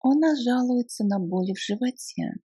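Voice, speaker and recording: A woman reading short phrases for beginners, repeating each one slowly in careful chunks. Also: on the high side, lively intonation, warm tone, husky, no room sound, clean studio sound. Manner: slow, careful phrase-by-phrase repetition. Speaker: language lesson reader.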